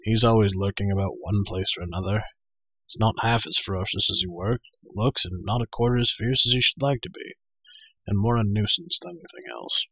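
A man's voice: on the low side, a little fast, fairly bright tone, breathy edge, no echo, poor audio. A man voicing a stern, authoritative warrior prince in a storybook, speaking firmly and with restraint. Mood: severe, annoyed. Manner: firm, severe, restrained. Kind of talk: storybook character voice.

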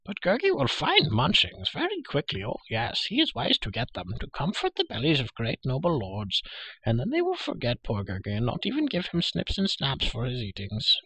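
A man's voice: mid-range voice, wildly swooping pitch, normal speed, sharp tone, husky, dry recording, voice pushed up, full character voice, poor audio. A man voicing a greedy, fawning, whimpering hairy creature for an audiobook, pleading and full of self-pity. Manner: pleading, self-pitying, third-person chatter. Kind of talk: audiobook character voice.

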